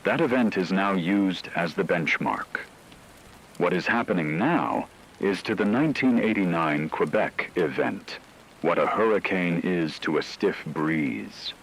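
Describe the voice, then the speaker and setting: A man playing a grave expert in a scripted radio disaster broadcast, speaking in a measured, technical and formal way. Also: sits low, lively intonation, slightly rough, heavily acted, low-quality recording. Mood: grave, clinical. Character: grave male expert briefing on a global disaster.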